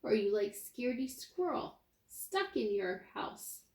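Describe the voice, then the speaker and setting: A woman with a fairly high voice reads a picture book to young children as their teacher. She takes it very slowly and expressively, stopping to put questions and asides to her listeners. The sound is roomy and echoey. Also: big pitch swings. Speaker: kindergarten teacher.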